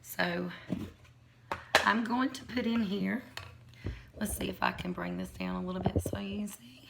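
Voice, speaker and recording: A hoarse woman, chatting casually as she shows her home cooking in a kitchen. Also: mid-range voice, unhurried. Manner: chatty, rambling, folksy. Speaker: home cook. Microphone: phone or camera on counter. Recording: noisy home recording.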